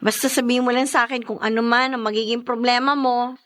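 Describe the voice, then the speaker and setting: An actress playing an exasperated, bossy but protective elder sister and wife in a radio drama. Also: female voice, a little high, fairly even intonation, fast, fairly bright tone, lightly acted. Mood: bossy, concerned.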